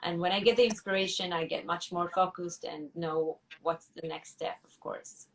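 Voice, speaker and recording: Low-ish woman musician in an interview, slow thoughtful answers, lots of restarts, a bit distant from the mic. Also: fairly even intonation. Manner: thoughtful, searching for words, many restarts. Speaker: musician guest.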